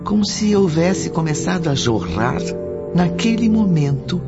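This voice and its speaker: A Brazilian man acting as a grave storyteller in a radio drama, theatrical, solemn and deliberate. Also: on the high side, big pitch swings. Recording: dull lo-fi audio under music. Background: background music.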